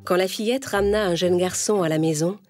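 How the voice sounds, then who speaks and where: A woman's voice: fairly low pitch, fairly quick, darkish tone, faint music behind. A woman storyteller reading a scripted children's tale as narration.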